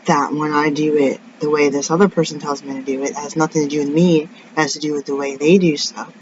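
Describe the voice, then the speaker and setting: A woman with a raspy, deep voice rambles through a casual vlog, drifting from one tangent to the next as she vents. The recording is dull and lo-fi, with a faint hiss underneath. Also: flat delivery, fast. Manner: rambling, tangent-prone, venting. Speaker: vlogger. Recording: dull lo-fi sound with faint noise.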